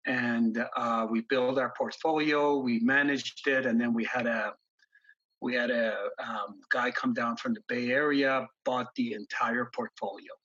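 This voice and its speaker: Husky-voiced man in his fifties. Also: medium pitch, fairly even intonation, bright, forceful tone, lo-fi sound. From grew up in Iran, born in the US. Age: 50s. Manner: halting, many ums and restarts. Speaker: guest investor. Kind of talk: casual interview storytelling.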